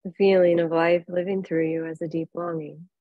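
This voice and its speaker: A woman teaching yoga nidra, leading a guided relaxation in a calm, unhurried, soothing manner with long pauses. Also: on the low side, no echo, phone-quality sound. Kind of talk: guided relaxation meditation, instructing softly.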